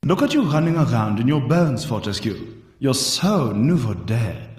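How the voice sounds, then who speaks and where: An actor playing a vain, condescending centaur prince in a game, with a haughty drawl. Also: male voice, medium pitch, sing-song, normal speed, a little mellow, lightly acted. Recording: roomy echo from far off.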